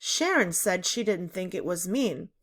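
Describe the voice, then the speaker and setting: Female narrator for children's chapter book. Unhurried delivery, highly varied intonation, expressive storyteller. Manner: deliberate, animated storytelling. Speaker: read-aloud narrator.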